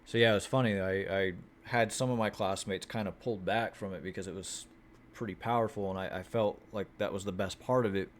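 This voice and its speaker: A man telling his vlog viewers about an acting class, talking in a flat monotone, swearing freely and restarting his sentences often. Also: fairly low pitch, fairly quick, slight background noise. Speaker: acting student vlogger. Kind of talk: casual chat. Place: Houston, Texas.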